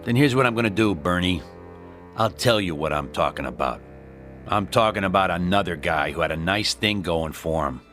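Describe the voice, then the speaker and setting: An actor playing a cocky, menacing avenger in a crime radio drama, with slangy, taunting, sardonic delivery. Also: male voice, low-pitched, expressive, normal speed, fairly bright tone, soft background music. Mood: taunting, accusing. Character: cocky, menacing male avenger posing as gangster's messenger. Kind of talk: hard-boiled crime radio drama acting.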